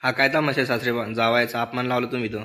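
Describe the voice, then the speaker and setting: A man's voice: mid-range voice, level tone, fast talker, bright, forceful tone, lightly acted. A man playing a grumpy, sarcastic son-in-law in a comedy cartoon, speaking with a rural Maharashtrian accent. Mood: indignant. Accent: rural Maharashtrian Marathi. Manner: complaining, mocking. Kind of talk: comedy cartoon dialogue in colloquial Marathi.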